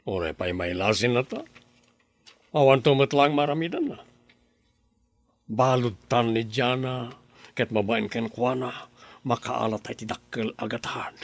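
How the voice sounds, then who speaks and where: A man's voice: mid-range voice, a little slow, long pauses. A man playing a scheming uncle who plots a kidnapping and falsely comforts the family in a radio drama. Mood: sly. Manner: smooth, sly, falsely soothing. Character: sly uncle secretly plotting a kidnapping. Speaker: uncle, Manong Lito.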